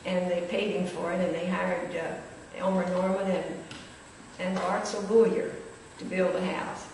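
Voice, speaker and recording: A woman's voice: fairly low pitch, level tone, measured pace, a little mellow. An older woman, reminiscing casually about her memories. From rural Missouri, United States. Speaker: former pupil and local historian sharing memories. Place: large echoing indoor room, recorded from a distance. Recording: very echoey hall, distant mic, noisy.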